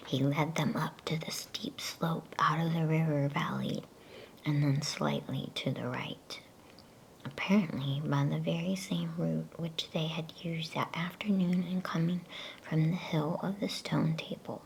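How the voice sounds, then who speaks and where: A woman softly whispering an ASMR bedtime story as storyteller, hushed, soothing and unhurried. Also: deep voice, steady tone, vocal fry, a little hiss or hum, poor audio.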